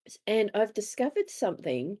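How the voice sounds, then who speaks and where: Woman, New Zealand accent, soft mellow tone, mid pitch, candid, animated, casual interview storytelling. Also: normal speed, slightly rough. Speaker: interviewed author.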